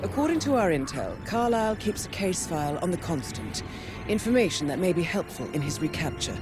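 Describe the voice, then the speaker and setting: An actress playing a composed, dry-witted intelligence handler, giving formal, articulate mission briefings in a video game. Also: female voice, on the low side, very animated, a little fast, over music. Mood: wry, composed.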